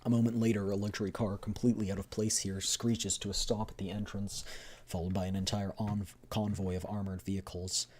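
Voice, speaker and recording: Deep-voiced man narrating a game story. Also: little pitch movement, brisk, a little mellow, slight background noise. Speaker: streamer. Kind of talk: dramatic scripted narration, reading a game story aloud.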